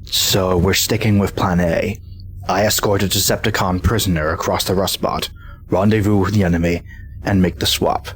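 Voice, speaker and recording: A man playing a calm, dry-humored robot hero with an understated, earnest delivery. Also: low voice, moderate pace, a little hiss or hum, lightly acted. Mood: resolute.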